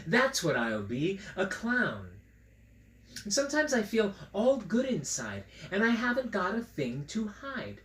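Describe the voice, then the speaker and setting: A man's voice: a little high, fairly bright tone. A man reading aloud and chatting to children in a playful, silly, theatrical way. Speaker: children's story reader and host. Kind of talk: read-aloud and chat for children. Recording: roomy echo, clear background noise.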